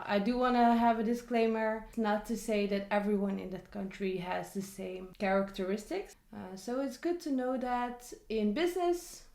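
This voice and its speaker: A woman's voice: fairly high pitch, a little mellow. A young woman, chatting casually on camera about a book she read and adding many asides. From Netherlands. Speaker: vlogger. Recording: echoey room.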